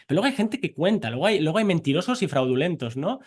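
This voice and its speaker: A man in his forties from Barcelona, a scientist answering interview questions in an exuberant, joking way with rapid tangents. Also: fairly high pitch, sing-song, slightly rough. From Barcelona, Spain. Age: 40s. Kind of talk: animated conversational interview.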